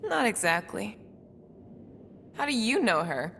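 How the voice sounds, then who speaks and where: Guarded female alien warrior, wry, creaky low register, confiding, game drama, reverberant space. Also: very animated, full character voice. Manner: measured, guarded, quietly confiding. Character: guarded alien woman, former assassin, wry and wounded. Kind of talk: cinematic video game voice acting. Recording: reverberant hall, faint background noise.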